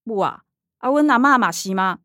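A blunt, wry middle-aged mother with a Taiwanese Mandarin accent, in a radio play. Her pitch rises and falls a lot as she needles her family. The sound is close and dry. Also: lively intonation, moderate pace, bright, forceful tone, dry recording. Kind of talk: radio drama acting.

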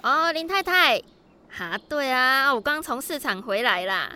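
A gossipy Taiwanese housewife. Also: female voice, high-pitched, expressive, measured pace, pushed and bright, a little hiss or hum, full character voice. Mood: chatty. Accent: Taiwanese Mandarin. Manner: gossipy, chatty. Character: chatty middle-aged neighbor housewife. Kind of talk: radio drama acting.

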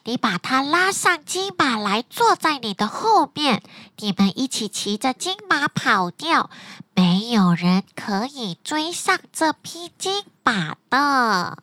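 A woman's voice: high-pitched, very animated, slow pace, sharp tone, no echo, full character voice. A woman voicing a clever, helpful little fox in a bedtime story, talking in a coaxing, instructive way. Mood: advising.

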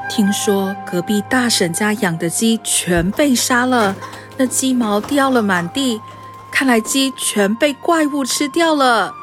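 A village gossip acted by a woman in a children's audio drama, with a somewhat high voice. She is dramatic and worried as she spreads alarming news, with clear music underneath. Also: a little slow, lightly acted.

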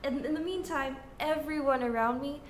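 A woman's voice: high-pitched, steady tone, normal speed, a little hiss or hum. A young woman from Taiwan, chatting casually as a student podcast co-host, friendly and easygoing with frequent giggles. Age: young adult. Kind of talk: casual chat.

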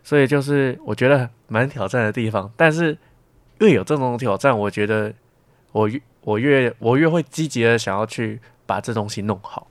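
A man's voice: medium pitch, moderate pace, no room sound. A young man with a Taiwanese Mandarin accent giving casual, reflective interview answers as a student, talkative and explaining with examples. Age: young adult.

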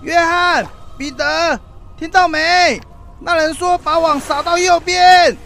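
A man's voice: very high voice, steady tone, takes it slowly, vocal fry, sharp tone, a little hiss or hum, heavily acted. An actor playing an awed, fervent male fisherman disciple in a biblical radio drama, speaking in earnest exclamations. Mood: urgent excitement. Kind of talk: Chinese-language biblical radio drama acting.

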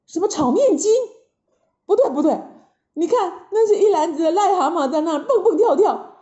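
A woman voicing a cheeky, excitable male monkey hero who talks insistently and theatrically to his master in a children's story. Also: very high voice, moderate pace, pitched above the performer's own voice. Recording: roomy, dull lo-fi sound.

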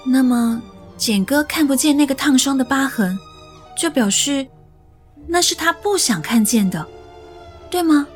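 A woman's voice: high voice, unhurried, pauses often, faint music behind. A woman playing an earnest, curious young cafe worker in a Mandarin audio romance drama. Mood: concerned, probing.

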